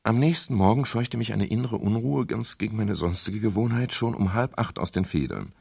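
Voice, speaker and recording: An actor playing a wry, gallant private detective and former police officer who narrates and talks in a crime radio drama. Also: male voice, fairly low pitch, moderate pace, vocal fry, darkish tone, breathy edge. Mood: dry narrating. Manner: gallant, ironic, teasing.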